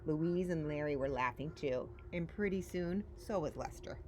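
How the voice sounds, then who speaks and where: A woman's voice: deep voice, normal speed. A woman reading a children's picture book aloud as a story-time reader, expressively and with dramatic emphasis. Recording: faint background noise.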